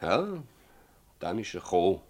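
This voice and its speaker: An actor playing a troubled, stubborn older village farmer with a Swiss German accent, blunt and plaintive, in a radio drama. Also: male voice, low-pitched, sing-song, normal speed, a little mellow. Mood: bitter. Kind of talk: radio drama acting in dialect.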